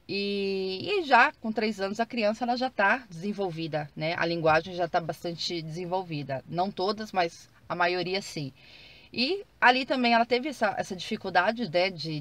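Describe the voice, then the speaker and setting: Brazilian woman, thirties, vlogger, chatty with fillers, talking from the car. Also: on the low side. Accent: Brazilian Portuguese. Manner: chatty, many fillers and self-restarts. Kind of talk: casual vlog talk to viewers. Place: car while driving.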